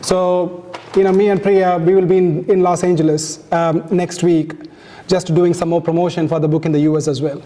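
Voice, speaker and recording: A man whose first language is Tamil, giving an informal, halting speech as the author at a book launch, with many restarts and fillers. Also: high voice, flat delivery, mellow.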